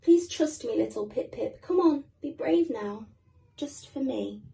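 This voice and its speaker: Patient, tender storybook mother penguin acted by a woman, high and soothing, a little off-mic. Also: lively intonation, measured pace, gravelly, warm tone, far from the mic, heavily acted. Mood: tender, encouraging. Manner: soothing, coaxing.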